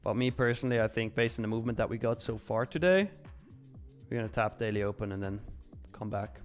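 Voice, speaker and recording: A man's voice: on the low side, fast, soft background music. A man from Switzerland hosting a casual, frank livestream, answering viewers and commenting on trades in an informal way with repeated phrases. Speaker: live trading stream host.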